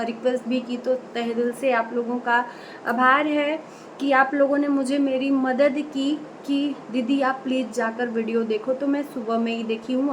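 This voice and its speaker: A woman's voice: on the high side, a little hiss or hum, rough recording. A woman vlogger reacting to a call recording, talking straight to her viewers in an earnest, opinionated way, often breaking off and correcting herself. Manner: many fillers and self-corrections. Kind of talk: direct-to-camera commentary.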